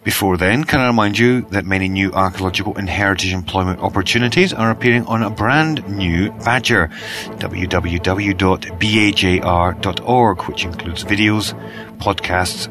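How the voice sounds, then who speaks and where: A British man hosting a news podcast, reading the bulletin in a croaky voice from a cold and spelling out web addresses slowly. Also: low-pitched, music in the background. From Britain.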